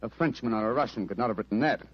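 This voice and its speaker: An actor playing a keen, self-assured British detective in an old-time radio drama, speaking with crisp, instructive authority. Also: male voice, mid-range voice, very fast, dry recording, lightly acted. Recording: dull, lo-fi old radio recording.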